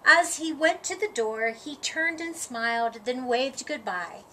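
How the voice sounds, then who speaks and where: A woman reading a children's story aloud, with expressive, deliberate phrasing and dramatic emphasis. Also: sits high. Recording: audible background noise.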